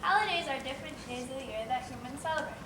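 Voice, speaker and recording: A woman's voice: high voice, very fast, far from the mic, natural voice. A woman playing an earnest, eager young girl crab in a children's stage play. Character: earnest young female crab, dreamy holiday lover. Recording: very echoey, noisy hall from afar.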